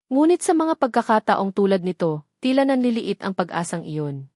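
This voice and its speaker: A woman's voice: medium pitch, big pitch swings, moderate pace, darkish tone, no echo, studio quality. A woman with a Filipino accent reading a scripted, dramatic story as its narrator.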